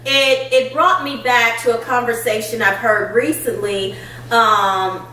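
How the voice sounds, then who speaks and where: Bright, high-voiced woman in an echoey room. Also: unhurried, recorded from a distance, a little hiss or hum. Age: middle-aged. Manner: animated storytelling, laughs often. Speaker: podcast host. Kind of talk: casual chat.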